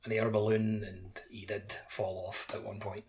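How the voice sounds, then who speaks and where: A man's voice: on the low side, level tone, normal speed, darkish tone. A man chatting casually about a video game as a podcast co-host, with background noise and clicks.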